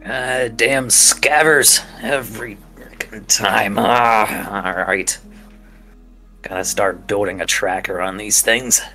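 A man playing a bright-voiced, grumbling tinkerer. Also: lowish voice, steady tone, slow, faint music behind, full character voice. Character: gruff, irritable tinkerer who builds drones. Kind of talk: in-character tabletop roleplay.

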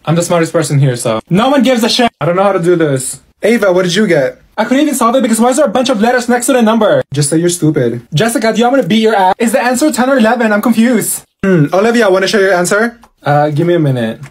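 A man's voice: high voice, expressive, quick pace, breathy edge. A man rattling through a comedic classroom skit as several bickering, sassy characters. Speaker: sketch comedian voicing a classroom.

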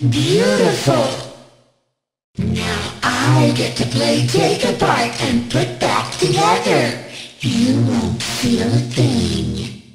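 A cheerfully sinister robot from a game, speaking slowly in a soothing tone that swoops wildly up and down, over loud music in a roomy space. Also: female voice, low-pitched, full character voice.

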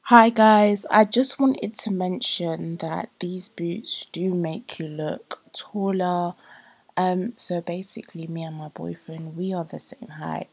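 A woman, chatting casually in a product review vlog, a little rambling and full of fillers, her voice slightly deepened by a cold. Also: husky. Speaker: vlogger. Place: small bedroom indoors.

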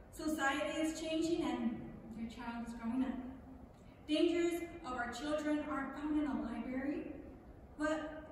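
A woman reads a speech on stage in a high voice. She is heard from far off, with long hall reverb and faint noise. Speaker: reader at a lectern. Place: stage of a large theatre.

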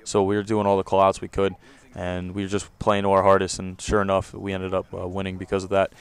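A young man with a deep voice giving loose, rambling commentary over his own gameplay, recorded on a close home mic. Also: monotone, fairly quick, clear, resonant tone. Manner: rambling, self-correcting, loose slang. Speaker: gaming commentator.

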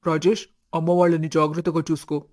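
A man voicing a dutiful, respectful grown son in an animated family story, speaking earnestly. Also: a little high, fast, natural voice. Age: young adult.

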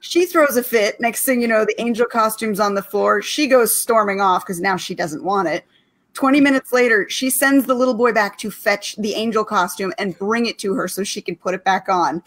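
A woman's voice: medium pitch, normal speed, a bit bright. A woman co-hosting a casual online chat, telling stories in a chatty way. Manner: chatty storyteller. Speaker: podcast co-host.

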